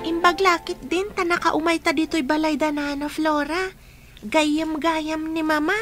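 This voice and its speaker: A very high-pitched orphaned child of radio drama. The voice is bright and plaintive, with an anxious, questioning manner that stays respectful toward elders. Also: female voice, level tone, measured pace, faint music behind. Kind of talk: radio drama acting in Ilocano.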